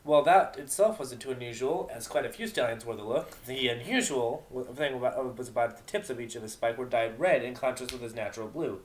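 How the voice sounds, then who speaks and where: A man's voice: mid-range voice, brisk, few pauses, a little hiss or hum. A man reading a story aloud as narrator, in a dramatic narration style. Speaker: narrator of a fan fiction reading.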